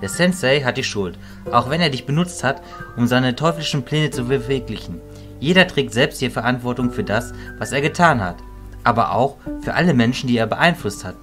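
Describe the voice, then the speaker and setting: A man playing a calm, reasoning mentor in a fantasy audio drama, measured, philosophical and reassuring. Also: mid-range voice, close to an everyday voice. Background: music.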